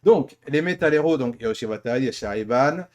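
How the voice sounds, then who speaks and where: Man, medium pitch, casual interview host, informal, hesitant, self-correcting. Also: lively intonation, moderate pace, a little mellow. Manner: informal, hesitant, stumbling over words and correcting himself. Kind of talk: casual podcast-style interview.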